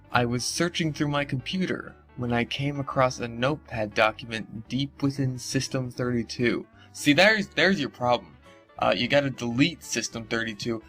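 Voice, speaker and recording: A man with a medium-pitched voice reads aloud over faint music. He keeps stepping out of the text to comment in an off-the-cuff, sarcastic way, sounding incredulous and swearing freely. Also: fairly bright tone. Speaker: host reading and mocking internet horror stories.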